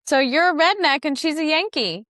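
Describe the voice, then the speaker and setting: A woman from Mississippi with a Southern US accent hosts a casual podcast chat. Her voice is high and swings up and down a lot, and she teases and laughs easily. The recording is dry and close. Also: animated, moderate pace, a bit bright, no room sound. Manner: laughs easily, teasing and playful. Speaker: podcast host.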